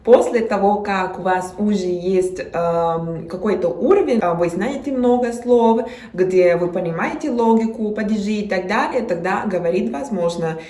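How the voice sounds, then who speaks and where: A woman's voice: medium pitch, moderate pace, few pauses, mellow, reverberant. A young woman from Mexico with a Mexican Spanish accent, talking casually to camera in a language she learned, hesitating with frequent fillers and restarts.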